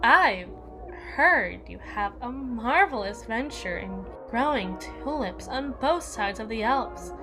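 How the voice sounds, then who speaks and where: Slow, haughty evil queen, acted by a woman. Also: on the high side, close to an everyday voice. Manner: haughty, theatrical, deliberate formal diction. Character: jealous, menacing evil queen, regal and possessive. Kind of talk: ASMR roleplay voice acting.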